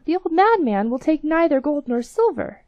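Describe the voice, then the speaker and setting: A woman's voice: high-pitched, sing-song, normal speed, a little mellow, dry recording, voice pushed up. A woman voicing a scornful servant girl. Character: scornful young female slave.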